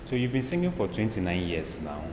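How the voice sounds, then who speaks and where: Deep-voiced male interviewer in echoey hall. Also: sing-song, fairly quick, noisy background. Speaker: interview host. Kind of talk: conversational interview. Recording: echoey hall, long reverb.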